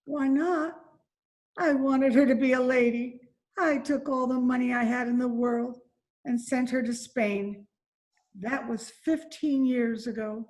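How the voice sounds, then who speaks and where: A woman's voice: sits high, fairly even intonation, unhurried, darkish tone. A woman playing a faded, despairing former Broadway dancer and mother from Spain in an amateur radio drama, speaking with bitter drama. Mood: wistful, rueful. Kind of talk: amateur old-time radio drama acting. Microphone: video call recording.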